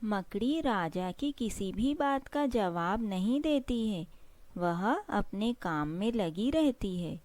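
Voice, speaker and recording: A woman's voice: mid-range voice, animated, slow, darkish tone, slight background noise. A woman narrating a scripted children's story as the storyteller.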